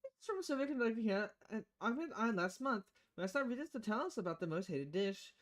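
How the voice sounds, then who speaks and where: A woman's voice: on the high side, very animated, brisk. A woman streaming a visual novel, reading the dialogue aloud with casual, playful commentary and switching voices between lines.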